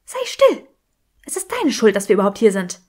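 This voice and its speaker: A woman's voice: medium pitch, wildly swooping pitch, brisk, darkish tone. A woman voicing an anxious, clever young witch in an audiobook, urgent and rambling. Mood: angry.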